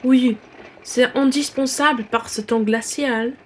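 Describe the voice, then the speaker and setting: A woman's voice: a little high, steady tone, slow, a little mellow, slight background noise. A woman in a student radio play, playing a prim and scornful respectable bourgeois lady.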